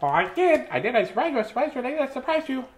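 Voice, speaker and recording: A man voicing a giddy, excitable squirrel for a picture-book read-aloud, chattering in repeated, giggly phrases. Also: very high voice, rapid-fire, reverberant, voice pushed up. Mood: delighted. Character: giddy, excitable cartoon squirrel eager to surprise a friend.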